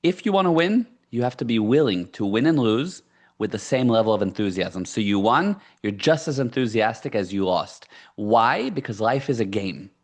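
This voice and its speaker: A man's voice: mid-range voice, animated, moderate pace. A middle-aged man born in South Africa whose first language is Hebrew, speaking as an entrepreneur guest in a casual podcast interview and stressing his points by repeating them. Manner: emphatic, repeats key phrases.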